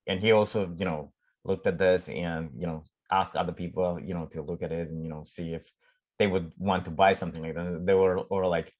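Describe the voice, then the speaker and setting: A middle-aged man from Romania with a Romanian accent, a startup CEO chatting in an interview, often pausing and restarting as he searches for words. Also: low-pitched, steady tone, a little mellow. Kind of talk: casual conversational interview.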